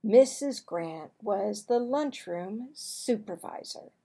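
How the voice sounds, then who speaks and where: A woman reading a children's book aloud as a storyteller, deliberate and expressive. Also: medium pitch, gravelly. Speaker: storybook reader.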